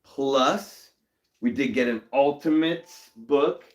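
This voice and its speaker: A young man hosting a casual comic-book live stream, a little hoarse from a cold, greeting viewers by name in an upbeat way. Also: mid-range voice, slow pace. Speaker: host.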